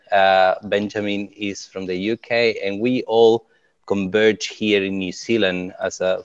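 Uruguayan man, low voice, dull dry audio. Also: clean tone, no echo. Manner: hesitant, many fillers and restarts. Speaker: climate tech startup co-founder. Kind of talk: interview answers.

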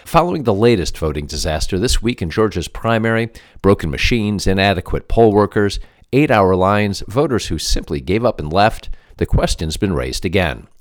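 A man with a low voice and lively, varied intonation, working as an interview host. He delivers scripted setups and pointed questions in a dry, close recording. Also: normal speed, no echo.

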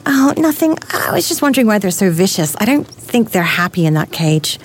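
An actress playing a wry, tolerant expectant wife in an audio drama, chatting in an intimate domestic way. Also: female voice, medium pitch, sing-song, a little fast, a little hiss or hum, natural voice. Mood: relaxed, intimate. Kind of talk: full-cast audio drama acting.

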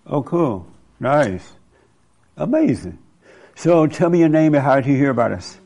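A man hosts a crowd in a hall, questioning people from the floor with a teasing, quick manner. His voice has a gravelly, creaky edge, and he talks into a handheld mic. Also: fairly high pitch, sing-song, darkish tone. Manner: teasing, quick back-and-forth with audience members. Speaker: host leading a live gathering. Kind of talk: casual audience Q&A. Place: hall with a live audience.

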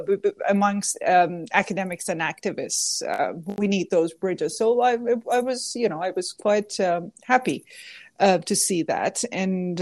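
A woman's voice: mid-range voice, a little mellow, dry recording, studio quality. A woman born in Iran, a guest scholar in a podcast interview, answering thoughtfully and often correcting herself. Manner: thoughtful, self-correcting, many fillers.

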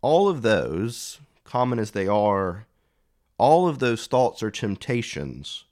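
A man preaches a reflective homily in a fairly low voice. He speaks very slowly and deliberately, setting out each short phrase with measured pauses. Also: very animated, darkish tone.

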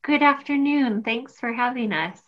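A woman's voice: fairly high pitch, moderate pace. A Canadian woman in her thirties answering interview questions in a friendly, fluent way, with frequent ums. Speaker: grant proposal manager. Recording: muffled lo-fi audio.

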